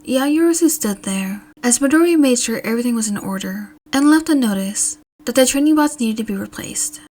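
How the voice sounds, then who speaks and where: A woman's voice: a little high, expressive, fairly quick, darkish tone. A woman reading a scripted story aloud as an audiobook narrator. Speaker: fan fiction audiobook narrator.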